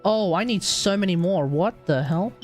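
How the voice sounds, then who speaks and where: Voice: medium pitch, moderate pace. An Australian streamer giving casual gaming commentary, muttering to themselves and mildly swearing as they go. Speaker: livestream host, gamer. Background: video game music.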